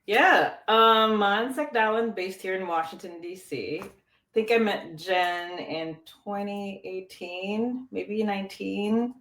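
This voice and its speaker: A woman's voice: medium pitch, takes it slowly, fairly bright tone. A woman from the Philippines, a podcast guest, chatting casually and filling her talk with "like" and trailing restarts. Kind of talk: casual interview chat. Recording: roomy echo.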